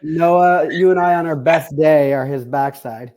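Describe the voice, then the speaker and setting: A middle-aged man, a film director, chatting in an interview with high energy, jokes and rambling comparisons. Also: unhurried, a little mellow. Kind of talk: casual interview chat.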